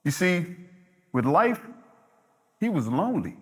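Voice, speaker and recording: Actor as an impassioned, slow, swooping male eulogist. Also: a little high, very animated, fairly bright tone, husky, heavily acted. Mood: impassioned, reflective. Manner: earnest, emphatic, deliberate. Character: impassioned man eulogizing a lonely, misunderstood artist. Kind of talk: theatrical stage monologue.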